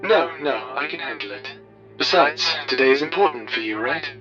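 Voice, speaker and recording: A man's voice: on the high side, normal speed. A man playing a polite, caring, overworked colleague on a filtered radio call in a sci-fi audio drama. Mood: polite, concerned. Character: caring, overworked colleague calling an ex pirate friend. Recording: dull filtered radio call, music behind.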